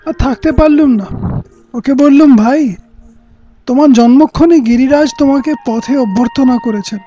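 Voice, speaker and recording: Man playing an earnest, high-voiced older royal uncle. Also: moderate pace, dark tone. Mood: tender, reflective. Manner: earnest, poetic oratory. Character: principled, defiant royal uncle, older kinsman. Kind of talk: Bengali radio play acting. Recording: dull lo-fi audio with music.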